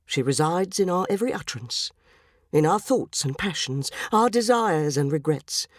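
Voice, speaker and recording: Woman voicing a pompous, solemn, low-voiced police detective. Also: expressive, normal speed, no echo, clean studio sound. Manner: stately, oratorical, florid. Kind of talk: dramatised story reading.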